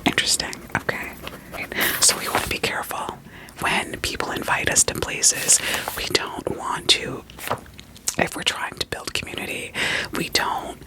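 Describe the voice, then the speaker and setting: A person whispering an ASMR roleplay as a doting, quirky therapist, soothing and reassuring with gentle questions. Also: unhurried, poor audio. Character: doting, quirky therapist evaluating a vampire client.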